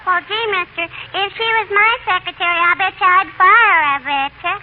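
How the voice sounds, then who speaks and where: A woman playing a pert, chatty little girl in a 1940s radio comedy, with a squeaky childish voice, innocent and literal-minded. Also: brisk. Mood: cheeky, indignant. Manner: childlike, repeating herself, taking questions literally. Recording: tinny, noisy 1940s radio recording.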